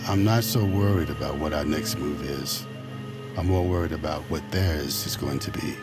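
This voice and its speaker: Enigmatic psychic escapee, bottomless low pitch, calm, grave, measured, audio drama, heavy music behind. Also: male voice. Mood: grave, knowing.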